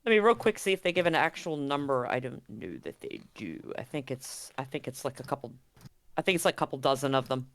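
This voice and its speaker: A game master with a clear, smooth voice in a middling register runs a tabletop roleplaying game. The delivery is informal and conversational, working out the scene aloud. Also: mid-range voice, expressive, fairly quick, fairly bright tone, no echo. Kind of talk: narrating a live-streamed tabletop roleplaying game.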